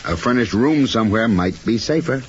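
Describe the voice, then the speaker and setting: A man's voice: lowish voice, animated, normal speed, close to an everyday voice. A man playing a wary, blunt rental agent in old-time radio drama style. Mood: wary, matter-of-fact. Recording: dull, noisy old radio recording.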